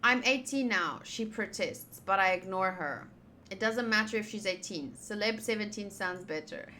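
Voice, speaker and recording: A woman's voice: medium pitch, animated, moderate pace, pushed and bright, a little hiss or hum. A woman giving casual visual novel playthrough commentary, chatty and thinking aloud as she reads the text. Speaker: game streamer.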